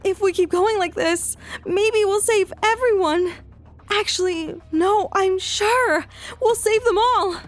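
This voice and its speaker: A woman's voice: very high-pitched, takes it slowly. A woman playing an anguished, determined young female healer in an audio drama, urgent and dramatic. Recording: music under the voice.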